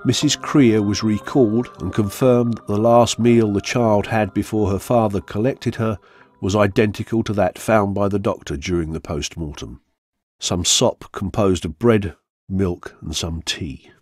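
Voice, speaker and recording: Male narrator for historical crime radio story: lowish voice, measured and formal, close dry studio. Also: fairly even intonation, darkish tone, no room sound.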